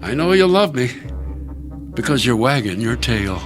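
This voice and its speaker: A man's voice: mid-range voice, very animated, normal speed, music in the background, lightly acted. A man acting as a blind, protective farmer, a folksy creature in a suspense radio drama. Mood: tender, relieved. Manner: folksy, rustic, plainspoken. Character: blind, protective male alien farmer, devoted husband.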